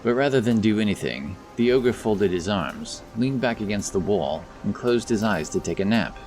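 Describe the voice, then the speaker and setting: A man's voice: fairly low pitch, expressive, normal speed, a little mellow, faint music behind, poor audio. A man narrating a scripted fantasy story as the storyteller of an audio drama.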